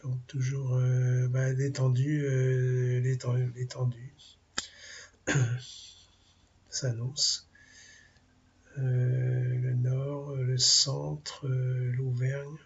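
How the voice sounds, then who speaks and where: A man with a French accent talks slowly through a weather briefing, his voice rough and gravelly and thick with a head cold. He pauses often, hunting for words, and the recording sounds dull and lo-fi. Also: medium pitch, monotone. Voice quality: gravelly, husky. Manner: halting, many fillers, thinking aloud over weather maps. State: has a cold, clears throat. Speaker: amateur weather forecaster.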